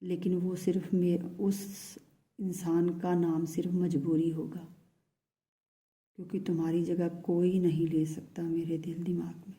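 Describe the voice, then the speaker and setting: A lovesick, pleading man, as a woman speaks his message in a very mellow, dark tone that stays flat and even. The delivery is soft and hushed and often sinks to a whisper. The pitch sits low for a woman. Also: unhurried, lightly acted. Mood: tender, pleading. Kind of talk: channelled love message in first person.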